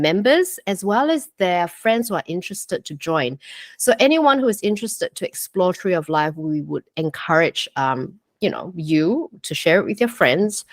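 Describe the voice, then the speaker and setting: A woman from Singapore in her forties, hosting a webinar as a health coach. She talks earnestly and chattily, with a lowish voice, lots of "um"s and "okay?" check-ins. The sound is dry and close, from a computer mic on a video call. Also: no echo. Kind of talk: live online presentation.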